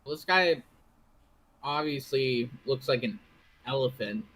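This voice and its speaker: A man's voice: mid-range voice, measured pace, a bit bright. A man in casual stream banter who teases his co-host with playful insults while keeping an eye on chat messages.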